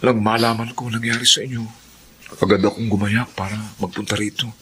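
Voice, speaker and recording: A man's voice: on the low side, fairly even intonation, fairly quick, a bit bright, slight background noise, natural voice. A man playing an earnest, supportive friend in a Filipino radio drama, speaking reassuringly. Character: earnest, supportive male friend, gentle and devout.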